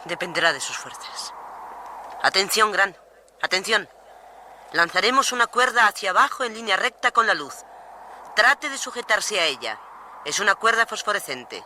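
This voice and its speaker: A woman's voice: medium pitch, very animated, moderate pace, pauses often, sharp tone, slight background noise. An actress playing an urgent female scientist calling out instructions in a suspense radio drama.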